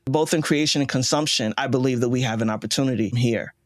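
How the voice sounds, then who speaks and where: A man's voice: mid-range voice, quick pace, dry recording, studio quality. A man speaking as an interview guest, presenting his media platform conversationally.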